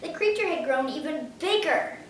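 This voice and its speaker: High-voiced young girl reading, very echoey. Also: lively intonation, moderate pace. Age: child, ten years old. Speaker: story reader. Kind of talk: children's storybook read-aloud. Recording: very echoey room, distant mic, faint noise.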